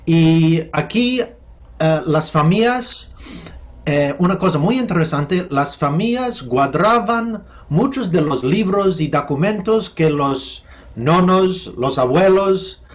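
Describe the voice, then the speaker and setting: A man who grew up speaking English, with an American accent, speaking slowly and thoughtfully as a professor giving an interview, pausing often and restarting his sentences. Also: on the high side, lively intonation. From United States. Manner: halting, thoughtful, with many restarts and fillers. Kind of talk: remote interview.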